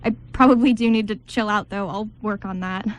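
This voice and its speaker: A woman's voice: medium pitch, fairly even intonation, fairly quick, slight background noise, close to an everyday voice. A woman playing an awkward, anxious maid of honor in an amateur radio play, hesitant and rambling. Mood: flustered.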